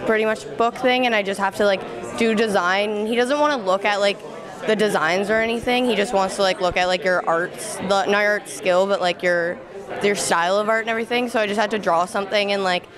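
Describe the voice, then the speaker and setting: A woman's voice: mid-range voice, steady tone, a little fast, over music. A young adult woman giving a casual interview in informal, youthful speech peppered with "like". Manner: heavy use of "like", informal youthful talk. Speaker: student alumni interviewee. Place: busy indoor school event room. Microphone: handheld interview microphone. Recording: busy crowd chatter behind.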